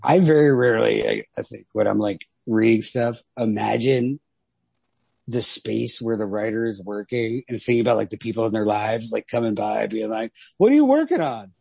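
A man co-hosts a casual literary discussion, talking in an animated, sweary way. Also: fairly low pitch, normal speed, vocal fry, husky, dry recording. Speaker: podcast co-host.

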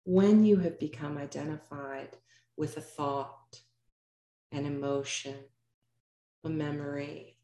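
A woman leading a guided meditation as a yoga nidra teacher, speaking calmly in measured, unhurried phrases with pauses. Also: low-pitched, roomy sound, a little hiss or hum.

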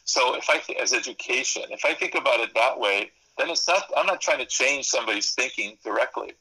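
A man's voice: mid-range voice, lively intonation, a little fast, pushed and bright, slightly rough, poor audio. A man whose first language is Spanish, a psychology professor and consultant, explaining ideas in a thoughtful interview, stacking up lists and correcting himself as he goes. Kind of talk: interview answers. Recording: radio interview.

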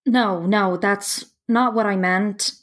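A woman plays an earnest, dreamy mapmaker in an audio drama. Her mid-pitched delivery is slow and reflective, with deliberate pauses between phrases. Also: fairly even intonation, natural voice. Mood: reserved, hesitant. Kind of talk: audio play monologue and dialogue.

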